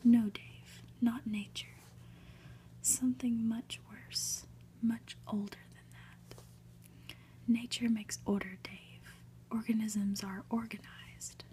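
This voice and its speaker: A woman voicing an ominous, pompous male professor in a breathy storybook whisper, lecturing with drawn-out pauses. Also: a little high, little pitch movement, mellow, rough recording.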